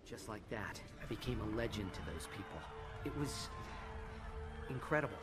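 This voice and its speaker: A man's voice: lowish voice, animated, normal speed, loud music behind the voice, natural voice. A man playing an earnest, responsible young turtle leader in a video game, sensible in how he speaks. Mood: reflective. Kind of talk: video game cutscene voice acting.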